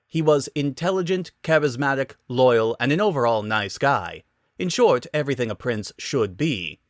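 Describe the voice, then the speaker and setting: A man narrating a scripted story as a dramatic reading, in an expressive, wry storytelling manner. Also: mid-range voice, no room sound, studio quality.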